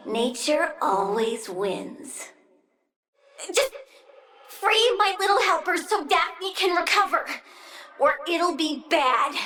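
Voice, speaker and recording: Very high bright little plant girl villain, bratty n blunt, kinda creepy vengeful, video game character. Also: exaggerated voice. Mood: eager, gleeful. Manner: petulant, blunt, swinging from chirpy to menacing.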